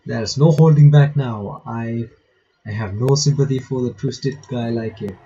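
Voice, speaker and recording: A man's voice: mid-range voice, a little slow, dark tone. A man hosting a visual novel playthrough, giving informal commentary and reading the game's text aloud on a home microphone. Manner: informal, reacts aloud between read lines. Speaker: reaction channel host playing a visual novel. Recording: muffled lo-fi mic with faint noise.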